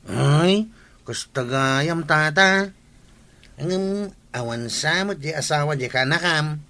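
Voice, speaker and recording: A gossipy villager speaks with an Ilocano accent in a bright, slightly high voice. He chats like a radio comedy character. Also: animated, slow, exaggerated voice. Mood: curious, chatty.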